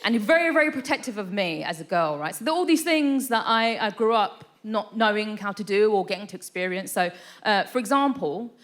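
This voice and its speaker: Woman, 30s, stand-up comic, lively pitch, self-deprecating, live stage mic. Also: fairly quick. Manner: chatty, self-deprecating, frequent "right?" asides. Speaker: stand-up comedian. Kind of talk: stand-up set. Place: live theatre stage with audience.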